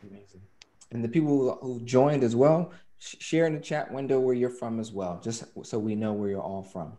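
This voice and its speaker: A man moderating an online panel over a video call, upbeat, with quick affirmations between speakers. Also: mid-range voice, dark tone.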